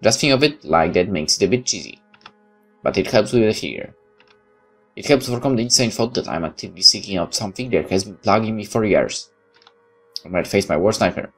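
Very low-voiced man reading aloud. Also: expressive, brisk. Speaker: let's player. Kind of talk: reading game narration aloud with commentary. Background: game music.

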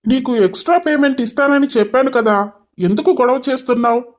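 A man's voice: very high-pitched, fast. A man playing an arrogant, short-tempered young boss in a dramatized story, curt and mocking, dropping in English phrases. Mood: irritated, threatening.

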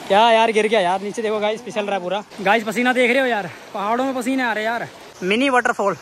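Male travel vlogger, North Indian accent, high voice, colloquial and exclamatory, recorded outdoors with clear vehicle noise. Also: steady tone, fast talker, a bit bright. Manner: colloquial, exclamatory, addresses viewers often. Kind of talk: casual travel vlog narration to viewers. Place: outdoors, much of it on a moving motorbike. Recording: noisy outdoor action camera, vehicle and street noise.

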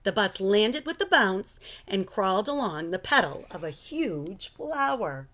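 A middle-aged woman reading a children's book aloud in an expressive, animated storytelling style at home. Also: medium pitch, unhurried. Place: living room at home.

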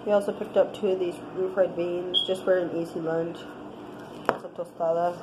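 Congested woman with a flat, stuffy voice. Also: on the low side. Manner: plain listing, unhurried, unscripted. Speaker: family channel host. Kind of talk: grocery haul show-and-tell. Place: home kitchen, indoors. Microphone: handheld phone camera. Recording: noisy home phone recording.

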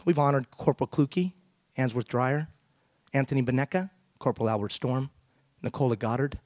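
A middle-aged man delivers an emphatic, rhetorical keynote from a big theater stage. He speaks through a headset mic at a middle pitch, and his voice sounds close and dry. He keeps turning to the audience with questions. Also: moderate pace, darkish tone, no echo. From Iran. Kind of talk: motivational keynote speech.

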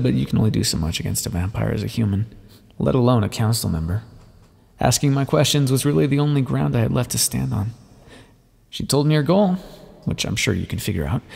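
A man playing a bitter, wry vampire and former rebel leader, confiding his past in an ASMR roleplay with a gravelly, husky voice. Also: medium pitch, darkish tone, heavily acted. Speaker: audio roleplay performer. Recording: long reverberant echo.

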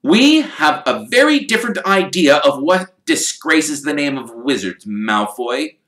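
A man's voice: on the high side, sing-song, a little slow, a bit bright, voice pushed up. A man reading aloud and voicing a harried middle-aged father wizard who speaks up urgently. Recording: echoey room.

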